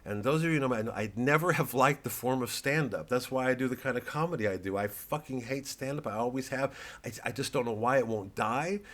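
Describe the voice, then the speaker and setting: A comedian talking to camera in a rambling, profane and self-deprecating way, with many restarts. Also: male voice, mid-range voice, brisk, hardly any pauses, fairly bright tone.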